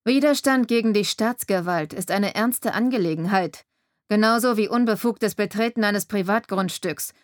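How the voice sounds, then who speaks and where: A bullying, heavyset male sheriff, put on by a woman reading the story aloud, menaces and scorns in a squashed mumble, his mouth barely open. He sits a touch above an ordinary talking voice and comes across loud and overbearing. The room around him is dry and close. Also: medium pitch, normal speed, dry recording, natural voice. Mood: threatening. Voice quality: speaks through barely opened mouth.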